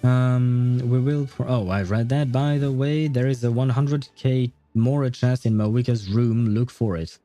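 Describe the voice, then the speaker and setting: Male game streamer, casual and rambling, thinks aloud, soft dark timbre over faint background music. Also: mid-range voice, measured pace, hardly any pauses. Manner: rambling, thinking aloud, chuckles at own jokes. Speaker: livestream host. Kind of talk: casual gaming livestream chat.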